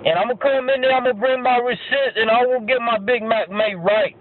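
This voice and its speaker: A man's voice: very high voice, brisk. Slangy complaining man, thin phone-like sound. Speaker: prank caller. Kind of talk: prank phone call. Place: inside a parked car. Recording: muffled phone-like sound, clear noise.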